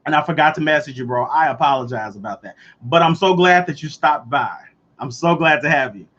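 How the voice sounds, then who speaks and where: Thirtysomething male streamer, slightly high-pitched, relaxed chat with viewers, muffled stream sound. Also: fairly quick. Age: 30s. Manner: chatty, reads and answers the live chat. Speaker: livestream host. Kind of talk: casual live chat. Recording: dull lo-fi livestream audio.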